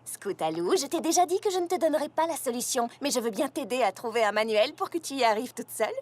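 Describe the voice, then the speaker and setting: A woman's voice: sits high, animated, fast, darkish tone. A woman voicing a kind, studious young female pony who teaches patiently in a French cartoon dub. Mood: gently firm. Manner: encouraging, instructive. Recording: music bed under the voice.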